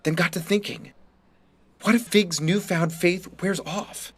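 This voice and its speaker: Man narrating, slightly higher-pitched voice. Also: unhurried, breathy edge. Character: teenage high school student narrator, wounded and resentful. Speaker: reader of a short story. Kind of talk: first-person scripted narration.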